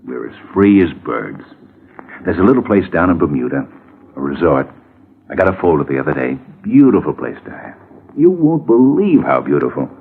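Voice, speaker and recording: A middle-aged, smooth-talking lawyer in an old radio play. His low voice moves up and down freely as he chats in a busy, glib, folksy way. The sound is muffled vintage broadcast. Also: big pitch swings, normal speed, a little hiss or hum, exaggerated voice. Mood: amorous. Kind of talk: 1950s radio drama acting.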